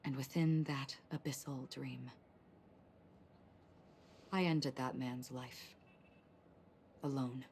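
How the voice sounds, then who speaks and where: An actress playing an enigmatic, stoic young woman wanderer in a video game, with a soft, breathy, calm and formal delivery. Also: extremely low pitch, slight background noise, heavily acted. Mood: somber, restrained. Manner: calm, restrained, formal and deliberate. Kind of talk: dramatic video game voice acting.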